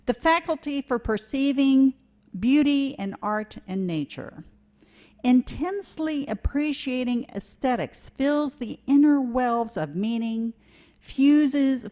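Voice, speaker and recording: A woman giving a sermon in a church, earnest and measured, with a touch of self-deprecating humor. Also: fairly high pitch, warm tone. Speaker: lay preacher. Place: church sanctuary.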